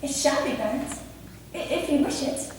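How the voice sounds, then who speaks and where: Young period gentlewoman played by a woman, high voice, polite, flustered, formal stage delivery, distant in a reverberant hall. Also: normal speed, lightly acted. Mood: earnest. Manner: formal period speech, theatrically projected. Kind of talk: amateur stage play acting. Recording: echoey theatre hall from far off, faint noise.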